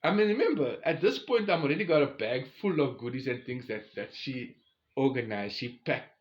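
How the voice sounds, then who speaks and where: A man's voice: mid-range voice, expressive, a little fast, muffled recording. A man of about forty with a South African accent, telling a personal story in a casual, conversational way and addressing his listeners directly.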